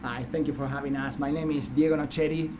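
A man's voice: a little high, fairly even intonation, fast talker. Middle-aged man, dean on a podcast, wry and reflective, audible background noise. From Argentina. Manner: dry self-mocking humor, thoughtful with repeated restarts. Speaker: business school dean. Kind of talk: casual interview answers. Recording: noisy room recording.

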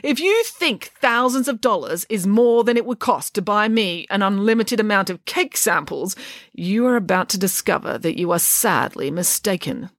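Storybook lady pig, played by a woman: brazen, haughty, swooping pitch, emphatic and scornful. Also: animated, moderate pace, no room sound, studio quality, close to an everyday voice. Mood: ruthless. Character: imperious, theatrical female pig, brazen and scornful.

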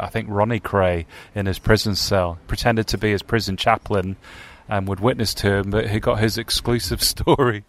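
A man in his late forties with a southern English accent, a journalist guest telling anecdotes in a relaxed radio interview and laughing through his stories. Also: on the low side. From England. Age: late 40s.

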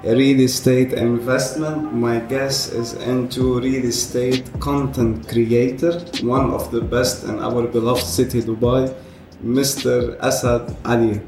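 A man hosting an interview podcast, speaking in measured, deliberate phrases. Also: medium pitch, little pitch movement, over music. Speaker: podcast host. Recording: roomy echo with some background noise.

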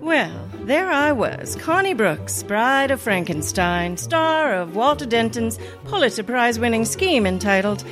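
A woman playing a wry, wisecracking high school teacher with sardonic wit in an old-time radio comedy. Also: big pitch swings, measured pace, hardly any pauses, music in the background. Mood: wry narration. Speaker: actor in a radio play.

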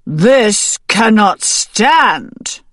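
A woman voicing a proud, stern rooster who speaks in a pompous, deliberate way. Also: medium pitch, expressive, a bit bright, no room sound, clean studio sound, voice pushed up. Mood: indignant.

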